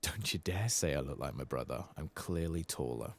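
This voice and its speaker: A man softly voicing a boastful, scheming dwarf armorsmith from a fantasy game. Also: very deep voice, animated, moderate pace, heavily acted. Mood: boastful, dismissive. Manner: bragging, conspiratorial. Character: boastful rival dwarf armorsmith brother, gruff and sly. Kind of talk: soft-spoken reading of game quest dialogue.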